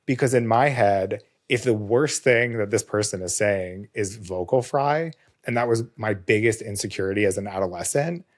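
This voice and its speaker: A man hosting a podcast in casual conversation, his voice slightly hoarse with a touch of vocal fry, earnest and reflective. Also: lowish voice, moderate pace. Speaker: podcast host.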